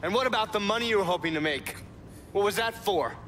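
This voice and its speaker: An actor with an American accent playing a stern, sardonic government agent in a video game cutscene. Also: male voice, high-pitched, expressive, fast, fairly bright tone, slight background noise. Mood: accusing, disillusioned. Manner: sardonic, accusing.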